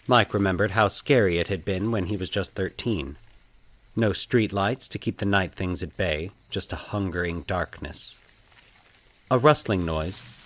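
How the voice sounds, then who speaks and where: A man narrating a scripted fiction story in a measured, dramatic storytelling manner. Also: fairly low pitch.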